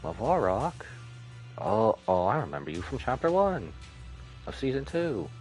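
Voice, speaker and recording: A man's voice: on the low side, very animated, unhurried, a little mellow, faint music behind. A man giving casual gaming commentary, musing aloud and reading dialogue between his asides. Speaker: game streamer.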